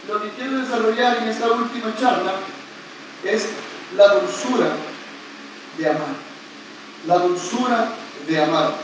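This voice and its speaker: High-voiced man preaching in an echoey pavilion. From not Puerto Rican. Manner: playful, joking with the congregation, leads call-and-response. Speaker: priest preaching. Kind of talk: sermon at a retreat Mass. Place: large open-air covered pavilion, outdoors. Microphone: camera far back in the congregation. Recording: echoey, distant, noisy outdoor pavilion.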